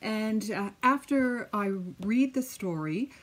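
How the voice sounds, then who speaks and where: A woman in her fifties, a teacher, speaking to pupils and reading a children's picture book aloud with deliberate, emphatic phrasing. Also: a little high, lively intonation. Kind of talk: children's storybook read-aloud.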